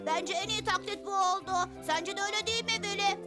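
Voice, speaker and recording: A woman's voice: very high-pitched, fast talker. A woman voicing a cheerful, teasing young bee child in a cartoon dub, with playful delivery. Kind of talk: cartoon dubbing. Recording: music behind the voice.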